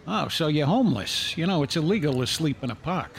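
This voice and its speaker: A man's voice: on the high side, lively intonation, fairly quick, creaky. A man playing a brusque, matter-of-fact police officer in an audio drama, with a blunt, officious manner.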